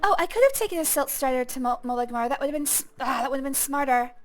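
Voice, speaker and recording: A young woman with a high voice gives relaxed commentary over a video game. She thinks aloud and pokes fun at herself, and faint game music plays underneath. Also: quick pace. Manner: chatty, self-deprecating, thinks aloud. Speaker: gaming streamer. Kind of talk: casual gameplay commentary.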